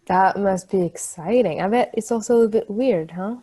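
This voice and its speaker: Kind, patient woman, actress at a table read: soft mellow tone, conversational, reassuring, gently encouraging. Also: medium pitch, a little fast, close to an everyday voice. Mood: gentle, reassuring.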